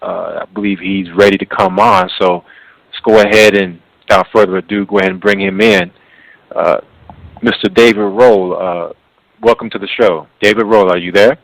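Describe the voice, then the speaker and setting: A man hosting a conversational radio interview, speaking haltingly and often repeating words. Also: lowish voice, steady tone, vocal fry, dry recording, rough recording. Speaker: radio show host.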